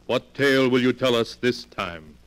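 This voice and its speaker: An actor playing a solemn announcer of a 1940s radio mystery show, speaking in a grandiose, measured, portentous way. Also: male voice, medium pitch, natural voice. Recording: old radio recording, long reverb.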